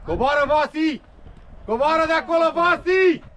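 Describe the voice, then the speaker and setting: A man coaching football from the touchline, shouting short, insistent instructions and encouragement to players. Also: very high voice. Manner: insistent, urging, full of short commands and encouragement. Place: outdoors at a football pitch.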